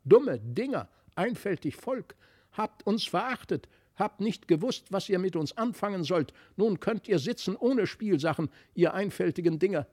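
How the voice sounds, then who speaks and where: A little toy man out for revenge, played by a male reader. He croaks in a creaky, raised voice, sneering and threatening as in a storybook. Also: high voice, lively intonation, normal speed, a little mellow, pitched above the performer's own voice. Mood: sneering contempt. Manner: menacing, sneering. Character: pair of vengeful little toy men, huntsman and harper. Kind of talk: dramatised fairy-tale audiobook reading.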